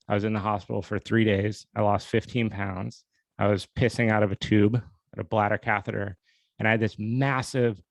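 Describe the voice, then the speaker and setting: Low-voiced man hosting a solo podcast. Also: no room sound. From USA. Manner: candid, self-deprecating, laughs at himself. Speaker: podcast host. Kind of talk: casual solo podcast storytelling.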